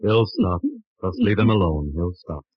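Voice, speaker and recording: A man's voice: low voice, unhurried, no room sound. A man playing a curt, commanding character in an old radio drama, with clipped authority. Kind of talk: 1950s radio drama acting. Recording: muffled old radio recording.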